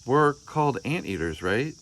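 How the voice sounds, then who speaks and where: Man acting a young boy anteater, contrary, insistent, questioning, pitched up, storybook reading, noisy recording. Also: sing-song, moderate pace, fairly bright tone. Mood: puzzled, questioning. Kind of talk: children's picture book read-aloud.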